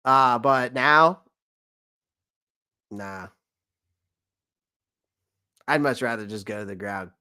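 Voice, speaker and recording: A man's voice: medium pitch, slow, pauses often, bright, forceful tone, smooth. A man in his thirties hosting a casual sports chat, rambling and correcting himself, laughing often. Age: 30s. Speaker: sports podcast host. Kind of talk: casual live-stream chat.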